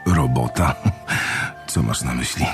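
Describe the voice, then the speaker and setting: An actor playing a gruff, taciturn monster hunter in an audio drama, speaking in a terse, understated way. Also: male voice, a bass voice, unhurried, faint music behind, exaggerated voice. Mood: guarded, puzzled.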